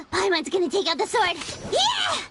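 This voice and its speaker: A woman voicing a small, childlike fairy companion in an anime video game, squeaky, indignant and exclamatory. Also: big pitch swings, a little slow, noisy background, full character voice. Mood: alarmed, defiant. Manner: exclamatory, refers to self in third person.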